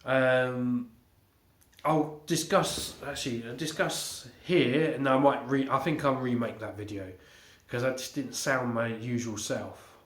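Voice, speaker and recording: Slow-talking congested man. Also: mid-range voice, steady tone. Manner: rambling, halting, with many fillers and restarts. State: congested, recovering from illness. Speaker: vlogger. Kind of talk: casual home update vlog. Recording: echoey room.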